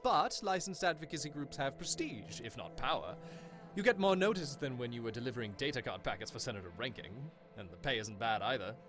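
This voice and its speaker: A man playing a friendly, eager advocacy group leader making a persuasive pitch in an audio comic. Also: lively intonation, fairly quick, natural voice. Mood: upbeat. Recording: music behind the voice.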